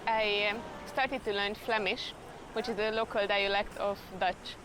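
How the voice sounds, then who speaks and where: A woman's voice: medium pitch, steady tone, unhurried, background noise. A young woman with a Hungarian accent chatting in an interview, laughing often as she talks. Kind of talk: casual interview chat.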